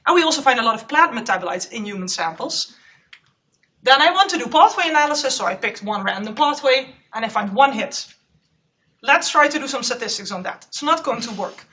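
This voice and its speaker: A woman postdoc gives a loud, chatty conference presentation in a lecture room. Her voice is high and bright, picked up by a clip-on mic. The recording sounds a little distant. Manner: loud, chatty, self-aware. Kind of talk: conference talk. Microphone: clip-on microphone.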